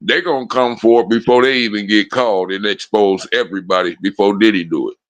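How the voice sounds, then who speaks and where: Low-voiced blunt man, emphatic. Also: fairly even intonation, a little fast, no echo. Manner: blunt, emphatic, repeats lines for effect, profane. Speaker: livestream co-host. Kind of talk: casual chat.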